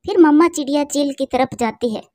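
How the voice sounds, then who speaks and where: Mellow woman narrating a children's story. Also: high voice, a little fast, no room sound, clean studio sound. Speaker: narrator and channel host.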